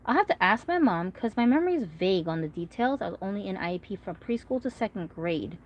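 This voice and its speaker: A woman in her thirties who grew up speaking Korean chats through a livestream in a loose, rambling way. She answers viewers one by one and leans on fillers as she goes, with her voice sitting in a middling pitch. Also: very animated, fast, highs cut off. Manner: chatty, rambling, frequent fillers. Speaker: doll collector vlogger. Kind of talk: casual livestream chat reading comments.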